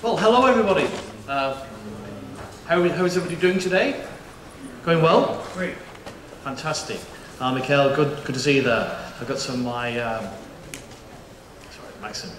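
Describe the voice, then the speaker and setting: A man's voice: fairly high pitch, expressive, moderate pace, fairly bright tone. Middle-aged guy, bit aussie, moderating a panel, lots of ums and restarts, echoey hall, faint room hum. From United Kingdom. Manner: chatty, jokey, frequent restarts and fillers. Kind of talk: conference presentation. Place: conference auditorium.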